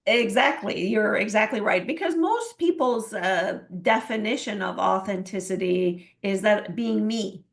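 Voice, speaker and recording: A woman from Iran talks earnestly about her coaching work, with a gravelly, creaky edge to her voice. She hesitates often and restarts her sentences. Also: mid-range voice, measured pace, few pauses. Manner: earnest, many fillers and restarts. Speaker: guest, transformational coach. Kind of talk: interview answers.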